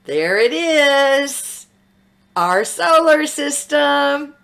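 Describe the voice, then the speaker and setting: A woman reads a picture book aloud in a fairly high, lively voice that swings widely in pitch. She takes her time, very slowly, and delivers the story with theatrical expression. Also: gravelly. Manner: slow, dramatic, animated storytelling with sound words. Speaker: children's story reader.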